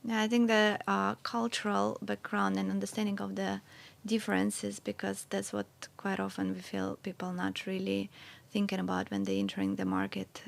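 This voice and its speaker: A woman's voice: medium pitch, gravelly. A woman hosting a podcast, asking interview questions and listening attentively with frequent murmurs of agreement. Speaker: podcast host.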